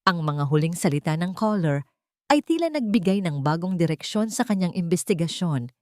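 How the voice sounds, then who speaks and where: A woman with a Filipino accent narrates a dramatic romance story. Her voice sits on the low side for a woman, and the sound is dry and close, with hardly any room to it. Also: animated, normal speed, warm tone, no echo.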